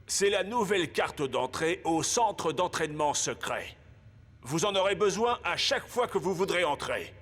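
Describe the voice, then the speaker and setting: A man's voice: on the high side, animated, moderate pace, a bit bright, faint music behind. A man voicing a stern, commanding football coach in a French cartoon.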